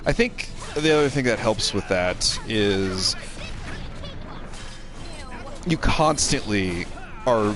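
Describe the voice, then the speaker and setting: A man in his thirties with a fairly low voice talks casually over clearly audible game music. He thinks aloud in a loose, rambling way, like a streamer commentating while he plays.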